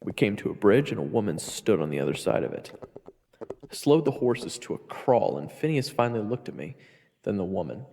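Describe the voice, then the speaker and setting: A man voices a young fantasy adventurer, wry and irritable, with a gravelly creak and lively intonation. His acting and narration sit in long reverb over music. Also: lowish voice, a little fast, a little hiss or hum. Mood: weary narrating. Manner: wry, sarcastic. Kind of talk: fantasy audio roleplay acting and first-person narration.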